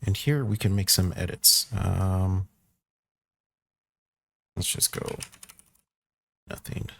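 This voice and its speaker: A man giving a casual software walkthrough, thinking aloud informally as he works through each step. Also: deep voice, fairly even intonation, takes it slowly, vocal fry, husky. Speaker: tutorial presenter.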